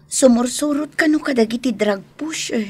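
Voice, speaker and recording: A woman's voice: high-pitched, normal speed, dark tone, a little hiss or hum, close to an everyday voice. A woman playing a resigned, aggrieved young wife separated from her husband in a radio drama, with an Ilocano accent. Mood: guarded.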